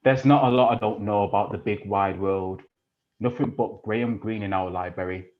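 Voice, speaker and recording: An actor playing a rough, foul-mouthed lad who mocks and threatens, in an audio drama with a slightly dull sound. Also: male voice, fairly low pitch, steady tone, moderate pace, lightly acted. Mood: sneering. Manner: swearing, menacing, mocking. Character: rough working-class British boy, aggressive, crude, defensive. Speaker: actor in a stage play.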